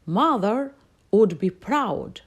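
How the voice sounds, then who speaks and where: A woman's voice: mid-range voice, expressive, very slow. A woman voicing a thoughtful, careful young male pig in a storybook, earnest in manner. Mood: proud.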